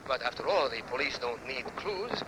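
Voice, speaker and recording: An actor playing a courteous, guarded professor, hesitant and probing, in 1940s radio style. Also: male voice, medium pitch, expressive, normal speed, natural voice. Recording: old radio recording, crackly crowd-like din.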